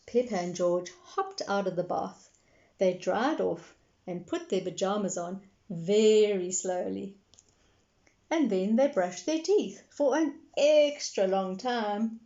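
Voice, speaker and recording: A woman reading a children's story aloud in an expressive style, addressing her young listeners directly. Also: medium pitch, slow, dull audio.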